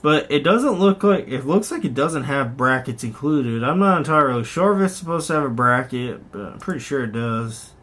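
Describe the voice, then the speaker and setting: A man with a medium-pitched voice talks casually as a hobbyist vlogger. He rambles conversationally through what he is showing, unscripted, correcting himself along the way. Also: lively intonation, moderate pace, few pauses, fairly bright tone. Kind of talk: casual unscripted show-and-tell commentary on online listings.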